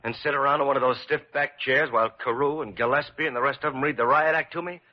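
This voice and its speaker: A man's voice: medium pitch, fast, lightly acted. A man playing a bitter, proud, hot-tempered young intern in a classic American radio drama, curt and defiant. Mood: bitter, sullen. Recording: dull vintage radio recording.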